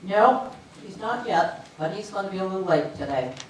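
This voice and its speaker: A woman's voice: mid-range voice, lively intonation, moderate pace, natural voice. A woman playing a patient, plainspoken small-town secretary in an amateur stage comedy. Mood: businesslike. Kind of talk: amateur readers theater comedy. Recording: very echoey room, distant mic, faint noise.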